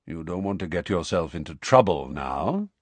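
A man doing a deep slow calm giant. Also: dry recording. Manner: slow and steady.

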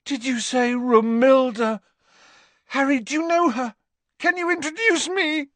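A man voicing a lovesick, hot-tempered teenage wizard boy in an audiobook, breathy and strangled, with a dazed, pleading manner. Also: high voice, fairly even intonation, slow, fairly bright tone, dry recording, voice pushed up. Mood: excited, pleading. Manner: overwrought, dreamy, pleading. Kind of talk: audiobook character voice.

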